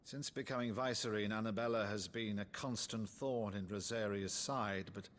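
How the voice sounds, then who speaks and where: A man's voice: on the low side, steady tone, normal speed, a bit bright, slight background noise, natural voice. A middle-aged man playing a jovial, theatrical nobleman uncle in a video game cutscene. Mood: grave. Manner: hearty, theatrical, pompous. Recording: game score playing behind. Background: music.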